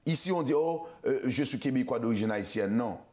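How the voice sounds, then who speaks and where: A man's voice: medium pitch, lively intonation, fairly quick, darkish tone. A man with a Haitian accent hosting a casual talk show. He stammers and restarts a lot and mixes Creole and English words into his French. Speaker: show host.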